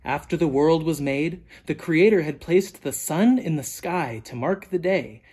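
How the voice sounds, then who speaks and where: Children's read-aloud, soft-edged husky voice, mellow tone, expressive, deliberate storytelling. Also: mid-range voice. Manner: animated, deliberate storytelling with dramatic emphasis. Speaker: storyteller reading a children's book aloud, teacher.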